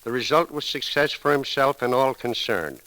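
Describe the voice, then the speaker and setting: A man's voice: medium pitch, animated, moderate pace, bright, forceful tone. A man with an American accent reading a formal eulogy on 1930s radio in a solemn, oratorical way. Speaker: newspaper editor giving a eulogy. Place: radio broadcast. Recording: crackly, sizzling 1930s radio recording.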